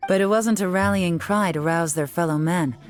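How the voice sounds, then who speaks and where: Woman doing a smug low-voiced detective lady, calm and slow-burn menacing. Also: soft background music, lightly acted. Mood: explaining. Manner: calm, measured, quietly menacing. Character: cool, confident female investigator, smug and teasing.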